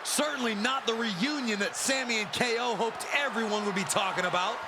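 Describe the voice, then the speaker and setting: A male wrestling commentator with a high, emphatic voice. He describes the action dramatically over a very loud crowd and arena noise. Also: fairly quick. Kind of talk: sports commentary in a video game.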